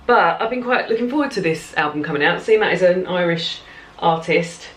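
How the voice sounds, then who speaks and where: A woman's voice: on the low side, expressive, brisk, a bit bright. A woman chatting casually in a home vlog diary about her plans and reading, rambling and correcting herself as she goes. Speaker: book vlogger.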